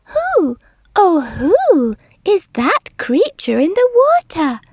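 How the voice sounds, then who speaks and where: Storybook role: small gentle boy dinosaur played by a woman, very high, wailing and hooting, big melodramatic swings. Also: wildly swooping pitch, takes it slowly, no room sound, full character voice. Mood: wondering. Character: small gentle young male dinosaur, dramatic and plaintive. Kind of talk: storybook character voice.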